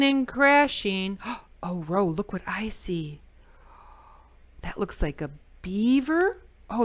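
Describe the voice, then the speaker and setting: A woman hosting library storytime, reading a picture book expressively for children and adding chatty asides. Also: mid-range voice. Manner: animated, exclaiming, dramatic emphasis for children.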